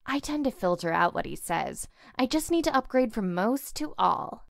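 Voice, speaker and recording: A woman voicing an aloof, sardonic squad member in a visual novel, deadpan and unimpressed. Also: a little high, moderate pace, gravelly, no echo, clean studio sound.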